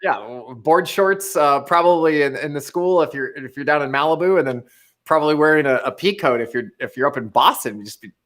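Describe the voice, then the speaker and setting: A man's voice: on the high side, a little fast, a bit bright. A young man co-hosting a live motivational stream, talking casually and eagerly reading viewers' chat messages aloud. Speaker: co-host of live motivational show.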